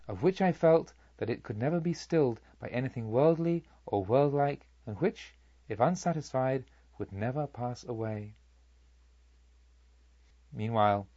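A man's voice: fairly high pitch, darkish tone, no room sound. Guy narrating an old literary book, solemn careful phrasing, dry sound, bit muffled lo-fi recording. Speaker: audiobook narrator. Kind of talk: measured literary audiobook narration.

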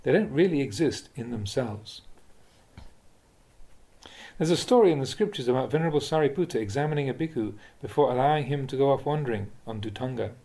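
A man, a Buddhist monk, reading spiritual teachings aloud in a measured, deliberate, instructive way, like an audiobook of a talk. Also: medium pitch, expressive, dark tone. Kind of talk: audiobook-style reading of a dharma talk with questions and answers.

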